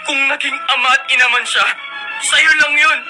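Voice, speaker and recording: A man's voice: extremely high pitch, fairly even intonation, normal speed. A man playing a hurt, resentful college-age younger brother in an emotional radio drama, with plaintive delivery. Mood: anguished, pleading. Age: young adult. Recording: radio drama broadcast under loud music. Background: music.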